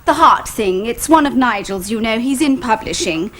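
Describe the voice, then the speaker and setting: An actress playing a loud, bossy middle-aged neighbour woman who talks in a booming, brisk and hearty way, in a British TV sitcom. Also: lively intonation, slight background noise. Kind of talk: 1980s British sitcom acting.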